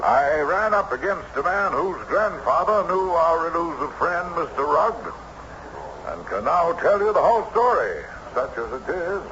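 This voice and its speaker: An actor playing a formal period gentleman telling an eerie tale theatrically in an old radio drama. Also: fairly high pitch, very animated, unhurried. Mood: suspenseful. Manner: formal, theatrical, suspenseful storytelling. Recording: noisy, muffled old radio recording.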